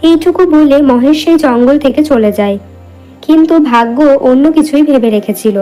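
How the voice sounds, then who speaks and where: A woman's voice: high voice, moderate pace, warm tone, soft background music. A woman narrating a scripted cartoon moral story as a storyteller.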